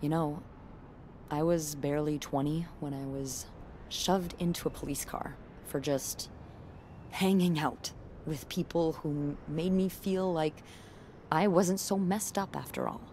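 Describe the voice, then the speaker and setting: A woman playing a guarded, caring character in a video game, confiding haltingly in a friend. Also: sits low, steady tone, darkish tone, a little hiss or hum. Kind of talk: naturalistic video game acting.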